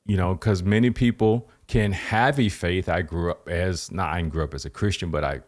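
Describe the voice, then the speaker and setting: A podcast host with a fairly low voice who rambles, restarting his sentences and padding them with fillers. He sounds keen and easygoing as he sets up his questions. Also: normal speed. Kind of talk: casual interview.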